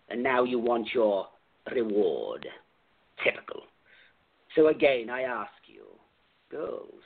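A man's voice: mid-range voice, breathy edge. A man playing a menacing, cold club president who gives sneering commands, on a tinny phone-like line. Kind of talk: amateur radio play acting.

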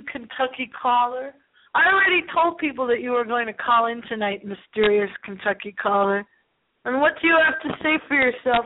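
Woman radio host, high voice, campy spooky delivery, rambling, playful, thin phone-like sound. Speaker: host of a paranormal call-in internet radio show. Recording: lo-fi phone-like line with hiss.

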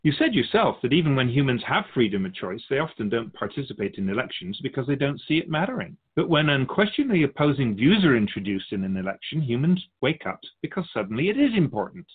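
A man voicing a wise, patient spirit who observes humankind, explaining and questioning in a measured conversational way on a radio show. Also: mid-range voice, sing-song, breathy edge. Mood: thoughtful, encouraging. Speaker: guest sage on a radio show. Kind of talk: conversational philosophical teaching. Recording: thin phone-like band.

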